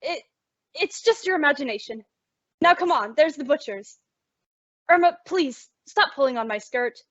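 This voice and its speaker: A woman acts the level-headed roommate and narrator of a vintage radio sitcom. She is high-voiced and animated, wry and exasperated. The recording is dull. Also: normal speed, no room sound. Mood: exasperated, wavering. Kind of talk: old-time radio comedy acting with narration. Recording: dull, lo-fi, dry audio.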